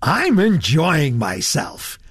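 A man's voice: a little high, sing-song, unhurried, dry recording, clean studio sound, voice pushed up. A man voicing a naive, enthusiastic young man in an audiobook story.

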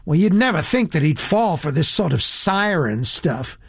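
A man voicing a blunt, earnest young woman with a high, shrill voice in an audiobook story. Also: very animated, moderate pace, breathy edge, dry recording, studio quality, full character voice. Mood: indignant, worried. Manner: blunt, indignant. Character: earnest, disapproving, very young American tourist woman.

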